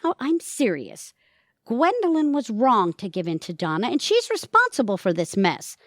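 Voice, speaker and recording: Woman as a lively, sharp-tongued scheming wife. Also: fairly high pitch, normal speed, no room sound, clean studio sound. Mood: indignant. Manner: arch, exasperated. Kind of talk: comedic audio theatre acting.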